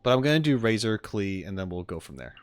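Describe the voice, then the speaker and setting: A man's voice: lowish voice, sing-song, fast. An American man chatting casually on a reaction stream, rambling and joking as he goes. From United States.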